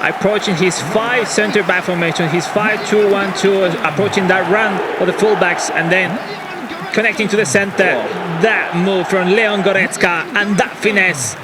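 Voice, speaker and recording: Male esports analyst, high-pitched, short clipped phrases, heavy crowd and noise behind. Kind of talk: analytical match commentary. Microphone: headset. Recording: loud crowd and background noise.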